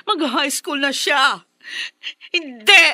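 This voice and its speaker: A worried young Filipino mother in a radio drama. Her voice sits a little high, recorded very dry, and she carries her troubles in a hesitant, weary manner. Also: slow, bright, forceful tone, dry recording, heavily acted. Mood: alarmed, distressed. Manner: subdued, hesitant with her father.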